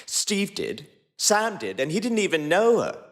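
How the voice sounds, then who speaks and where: Guy reading fanfic lines, lots of pitch swings, bit high, soft music under it. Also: big pitch swings, unhurried. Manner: voices several characters by shifting pitch and pace. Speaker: podcast co-host reading fanfiction. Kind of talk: dramatic reading of fan fiction dialogue.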